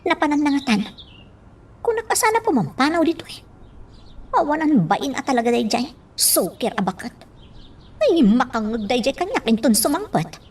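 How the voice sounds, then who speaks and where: An actress playing an anxious, scolding daughter in an Ilocano radio drama. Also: female voice, high-pitched, sing-song, normal speed, pauses often, breathy edge, recorded from a distance, full character voice. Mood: annoyed muttering.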